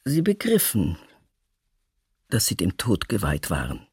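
An actor playing a grave, halting witness with a raspy, gravelly voice in a documentary radio drama. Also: male voice, fairly low pitch, wildly swooping pitch, darkish tone, no room sound, clean studio sound, exaggerated voice. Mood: grave, haunted.

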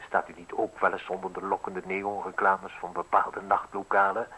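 A man's voice: sits low, level tone, moderate pace, pushed and bright, slight background noise, rough recording. Probing, mocking newspaperman. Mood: insinuating. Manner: insinuating, articulate, interrogating. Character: probing, mocking, ruthless male newspaper journalist needling an official. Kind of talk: radio play acting in Dutch.

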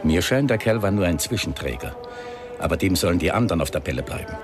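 An actor playing an irascible, grumbling police inspector, gruff and emphatic, in a German radio crime drama. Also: male voice, sits low, lively intonation, fast talker, music in the background. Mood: brisk, determined.

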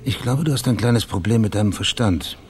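An actor playing a cool, sardonic private detective who questions in a controlled, probing way in a radio crime drama. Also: male voice, fairly low pitch, brisk, a little hiss or hum, lightly acted. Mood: pressing.

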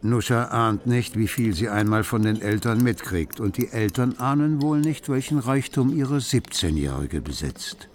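An actor narrating a literary radio play as a storyteller. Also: male voice, mid-range voice, expressive, moderate pace, hardly any pauses, natural voice.

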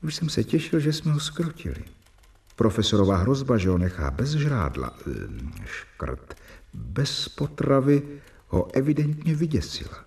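A man's voice: medium pitch, very animated, gravelly, darkish tone. An actor playing an earnest, exasperated young doctor who narrates his own diary in a radio play. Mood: reflective. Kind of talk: radio drama with first-person narration.

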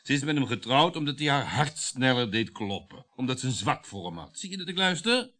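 An actor playing a gruff, impatient husband in his fifties in a radio play, curt and sarcastic. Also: mid-range voice, very animated, fairly quick, sharp tone. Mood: defensive. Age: 50s. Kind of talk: radio drama acting.

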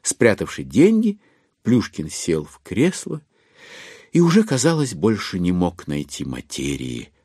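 Literary narrator, audio play, animated intonation, storytelling delivery, dry studio sound. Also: male voice, low-pitched, a little slow, husky, no echo, heavily acted. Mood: wry, measured. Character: storytelling narrator of a classic satirical novel.

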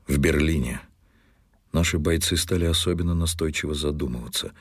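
Solemn war writer, very deep husky voice, weighty delivery. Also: male voice, brisk, dry recording, clean studio sound. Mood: grave.

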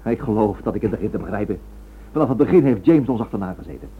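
A man playing an indignant, stubborn and excitable writer pressing accusations in a radio drama. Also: on the low side, fast talker, breathy edge. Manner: urgent, indignant, insistent. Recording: tinny, band-limited old radio recording.

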